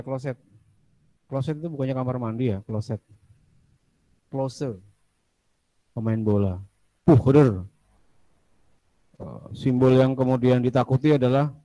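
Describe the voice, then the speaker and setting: A man's voice: medium pitch, warm tone, no room sound, low-quality recording. A man teaching a casual classroom lecture, chatty, asking students questions and drifting into asides.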